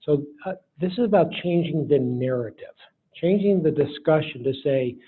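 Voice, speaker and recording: Husky man giving an informal keynote. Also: a little high, expressive, moderate pace. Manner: informal, folksy, speaks in short phrases. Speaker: keynote speaker. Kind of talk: conference talk with audience questions. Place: live talk to an audience. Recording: muffled lo-fi hall recording.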